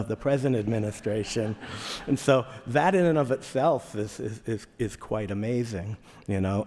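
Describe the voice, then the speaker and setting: A man's voice: on the low side, darkish tone. A middle-aged man with a Boston accent giving a conversational conference talk, often repeating words as he restarts a phrase. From Boston area, Massachusetts. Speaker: conference speaker. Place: large conference hall. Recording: echoey hall reverb.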